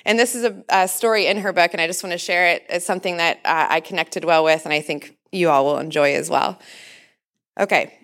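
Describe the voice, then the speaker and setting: A woman speaking to a church congregation on Mother's Day, a little nervous, laughing now and then, holding a handheld mic away from her mouth because of her loud voice. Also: lowish voice, a little fast. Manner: warm, nervous, laughs often, says she has a loud voice. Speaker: pastor's wife giving a Mother's Day message. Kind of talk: church talk with a read-aloud story. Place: church sanctuary in front of a congregation. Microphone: handheld mic held away from the mouth.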